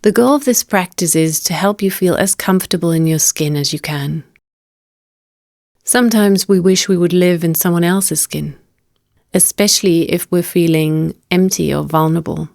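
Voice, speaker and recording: A woman guiding a meditation as a teacher, speaking in a gentle, unhurried and invitational manner. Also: fairly low pitch, vocal fry, no room sound, studio quality. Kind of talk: guided meditation narration.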